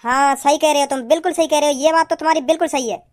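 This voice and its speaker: A woman's voice: high-pitched, fairly even intonation, racing, natural voice. A woman playing a plain-spoken village mother in a comedy cartoon. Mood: agreeing.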